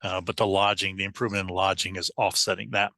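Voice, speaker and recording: A man presenting slides in a webinar, hoarse and losing his voice, halting with restarts and fillers. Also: low voice, level tone, pushed and bright, dry recording, clean studio sound.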